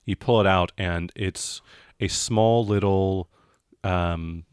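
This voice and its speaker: A man working as game master, improvising scene descriptions in a halting, conversational way. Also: sits low, steady tone, smooth, no echo, clean studio sound. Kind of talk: improvised narration of a tabletop game.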